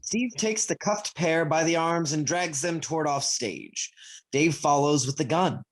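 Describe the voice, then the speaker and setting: A man's voice: on the high side, unhurried. A man reading stage directions as scripted narration for a play. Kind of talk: scripted narration in present tense. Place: remote video call.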